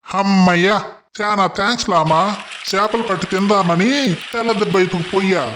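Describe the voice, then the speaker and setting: An anxious, loyal penguin buddy, acted by a man for a kids' animated story. The voice is very high for a male, excitable and folksy. There is faint noise behind it. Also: normal speed, close to an everyday voice. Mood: worried. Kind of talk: children's animated story acting.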